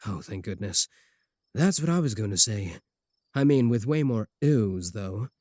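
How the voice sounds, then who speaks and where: A man's voice: fairly low pitch, expressive, a little slow, darkish tone, no room sound. Cocky, lovesick male werewolf played by a man, close and dry. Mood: dry bickering. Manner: earnest boasting, dry sarcastic jabs. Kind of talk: comedic ASMR roleplay acting.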